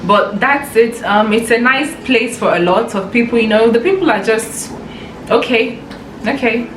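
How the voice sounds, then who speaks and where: Hesitant young woman in an echoey room. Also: on the high side. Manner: measured, hesitant, many ums. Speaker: guest, medical student. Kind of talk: casual chat. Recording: echoey room with steady background noise.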